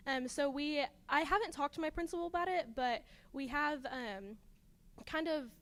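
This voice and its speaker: Teen girl, pretty high voice, earnest, lots of ums while she pitches her project. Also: normal speed. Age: teens. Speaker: student contestant presenting a project. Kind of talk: prepared presentation, then answering judges.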